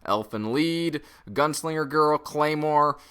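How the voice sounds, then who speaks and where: A man's voice: a little high, slow, pushed and bright, smooth. A man narrating a scripted review in an opinionated, conversational way. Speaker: comic and manga reviewer.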